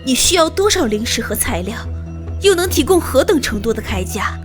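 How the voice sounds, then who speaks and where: A woman playing a polite, humble young merchant's daughter with formal, old-fashioned manners in an animated fantasy drama. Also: high voice, moderate pace, soft background music. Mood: earnest, grateful. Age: young adult.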